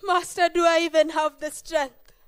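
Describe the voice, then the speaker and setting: A woman's voice: very high voice, flat delivery, moderate pace. A woman acting in a church Easter play as a desperate mother who tearfully begs for help for her possessed child. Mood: begging. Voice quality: tearful, pleading, repeats herself. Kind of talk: amateur stage acting.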